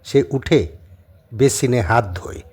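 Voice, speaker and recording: A husky man with a medium-pitched voice narrates an audio story. His intonation swings widely, giving the scripted scenes an animated, dramatic shape. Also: slow.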